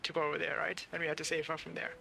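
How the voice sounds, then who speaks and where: A man's voice: fairly high pitch, monotone, racing, gravelly, sharp tone, a little hiss or hum. A man giving casual live commentary as he plays a game, rambling and thinking aloud with mild swearing, over game music. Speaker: game streamer.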